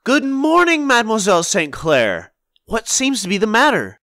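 Man acting a fawning, very animated shop manager. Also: high-pitched, normal speed, no room sound, studio quality, exaggerated voice. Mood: placating. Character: fawning male flower shop manager, cautious and placating. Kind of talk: visual novel voice acting.

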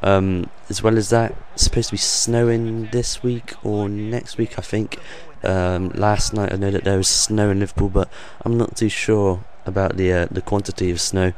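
A young man with a croaky voice hosting his gaming channel, rambling off the cuff with lots of ums and uhs over game footage. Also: lowish voice, little pitch movement, moderate pace, few pauses, a little mellow, a little hiss or hum.

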